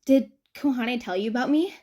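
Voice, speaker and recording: A woman voicing a sociable, easily exasperated young college woman, with an expressive, bantering delivery. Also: on the high side, moderate pace, natural voice. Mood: friendly.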